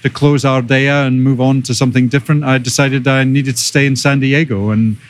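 A middle-aged Scottish man speaks as a guest on a podcast. His voice sits at a medium pitch, and he explains things in a measured, detailed way, like an experienced executive in conversation. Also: level tone. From Scotland. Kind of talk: conversational interview answers.

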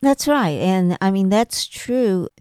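A woman's voice: medium pitch, lively intonation, slow, a little mellow, clear, resonant tone, dry recording, clean studio sound. A woman co-hosting a podcast as a storyteller, talking in a casual, earnest style. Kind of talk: casual, earnest faith discussion.

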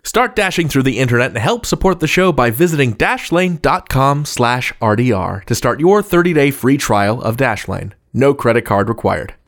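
A man hosting a podcast, reading a scripted introduction in a literary, rhetorical manner with deliberate phrasing. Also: mid-range voice, expressive. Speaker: podcast host.

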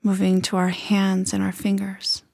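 A woman leading a guided body scan meditation in a gentle, unhurried manner with soft phrase endings. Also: medium pitch, completely flat delivery, vocal fry, dry recording, studio quality.

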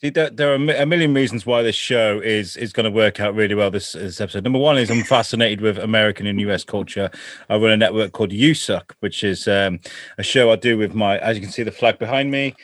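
A man's voice: lowish voice, fast, fairly bright tone, no room sound. A man hosts a podcast with eager, rambling enthusiasm. His voice sits in the middle range and sounds close and dry, with no room around it. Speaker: podcast host. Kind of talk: casual chat.

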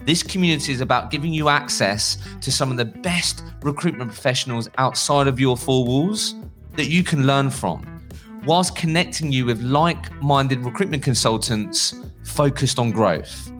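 A man around thirty hosting a podcast, pitching a community and then interviewing a guest with deliberate, upbeat delivery. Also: a bit bright, faint music behind. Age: about 30. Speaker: podcast host.

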